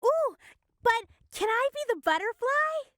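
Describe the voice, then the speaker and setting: A woman's voice: very high-pitched, lively intonation, measured pace, a bit bright, dry recording, clean studio sound. A woman narrating and co-hosting a playful children's reading lesson. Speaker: narrator and co-host.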